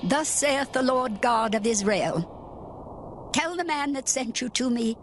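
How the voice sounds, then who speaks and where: Stern prophetess, higher-pitched voice. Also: female voice, lively intonation, unhurried, a little hiss or hum. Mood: stern, foreboding. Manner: declamatory, oracular. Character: stern, solemn Hebrew prophetess delivering God's judgement. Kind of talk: dramatized scripture acting.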